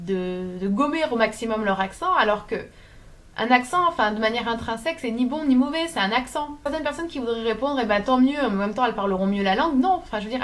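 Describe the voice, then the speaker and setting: Higher-pitched woman, slight southern French accent. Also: a bit bright. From southern France. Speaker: video blogger. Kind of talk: opinionated talk to camera.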